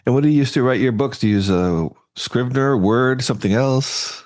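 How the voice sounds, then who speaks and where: A man hosting an interview podcast who asks questions in a halting way, repeating words and laughing often. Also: medium pitch, darkish tone. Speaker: podcast host. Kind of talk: casual interview chat.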